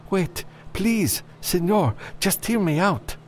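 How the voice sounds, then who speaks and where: An enslaved father in a science fiction audiobook, acted out by a male narrator. His voice is lifted high and swings with desperation. He pleads in halting phrases, with faint noise behind him. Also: animated. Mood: desperate pleading.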